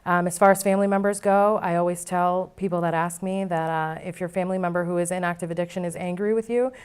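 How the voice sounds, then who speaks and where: A woman's voice: on the low side, steady tone, fast talker, darkish tone, clean tone. A woman speaking candidly and conversationally as an interview guest, giving personal testimony. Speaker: interview guest, former client now board member.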